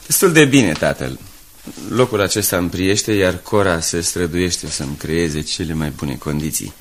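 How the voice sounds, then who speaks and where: Low-voiced, polite grown son. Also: male voice, lively intonation. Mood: polite, earnest. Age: young adult. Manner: formal, deferential. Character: polite, reserved grown son, a scholarly writer. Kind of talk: Romanian radio drama acting.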